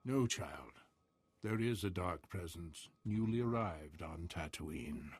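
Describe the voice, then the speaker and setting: Old half-mad soothsayer, rough, lowish, portentous, solemn, dry recording, video game. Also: male voice, animated, dry recording, heavily acted. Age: older. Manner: slow, cryptic, portentous. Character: ancient, half-mad male desert soothsayer, cryptic and solemn. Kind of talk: scripted video game acting.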